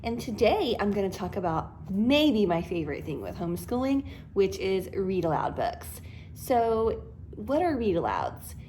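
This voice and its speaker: A woman's voice: medium pitch, big pitch swings, a little slow, mellow, a little hiss or hum. A woman in her thirties with an American accent, talking casually and enthusiastically in a homeschooling mom's vlog. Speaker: homeschooling mother and vlogger. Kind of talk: casual vlog talk to camera.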